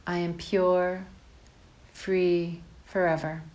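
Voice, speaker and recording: A woman leading a guided meditation as a teacher, giving calm, measured instructions in short phrases with pauses between them. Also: on the low side, low-quality recording.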